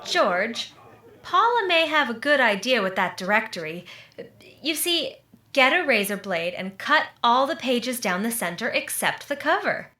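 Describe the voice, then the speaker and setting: A woman's voice: on the high side, very animated, unhurried, sharp tone, full character voice. A woman playing a chirpy, meddling family friend in old-time radio comedy, blithely talkative, in an echoing room. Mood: scheming, pert.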